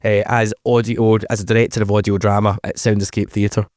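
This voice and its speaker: Upbeat radio host. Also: male voice, lowish voice, flat delivery, darkish tone, no echo, studio quality. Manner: upbeat and encouraging, with a quick stock of praise. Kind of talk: local radio interview.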